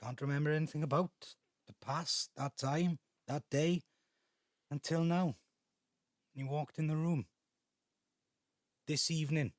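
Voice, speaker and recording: An actor playing a bitter, resentful grown son in an audio drama, speaking in a halting, confrontational way. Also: male voice, a little high, fairly even intonation, no room sound, studio quality, lightly acted. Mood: cold resentment. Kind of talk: naturalistic audio drama acting.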